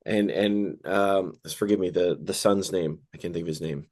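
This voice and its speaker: Lower-voiced hesitant male interviewer. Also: fairly even intonation, normal speed, darkish tone. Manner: rambling, hesitant questions with fillers. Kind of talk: casual interview questions.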